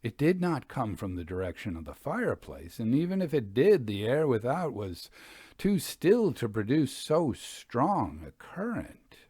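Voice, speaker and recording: A man reading a literary ghost story aloud in a measured, expressive, formal way. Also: mid-range voice, no room sound. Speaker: audiobook reader. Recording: clear background noise.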